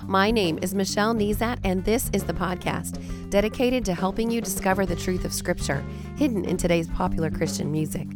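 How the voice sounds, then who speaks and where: A woman hosting a devotional podcast, her voice raspy and hoarse from a cold, speaking earnestly with frequent ums and restarts. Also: mid-range voice. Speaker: podcast host and Bible teacher.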